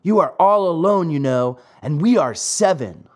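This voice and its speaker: A man's voice: on the high side, expressive, measured pace, darkish tone. A man voicing sneering, bullying schoolboys in a storybook, jeering and scolding. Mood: threatening. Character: gang of sneering, bullying schoolboys, threatening and teasing.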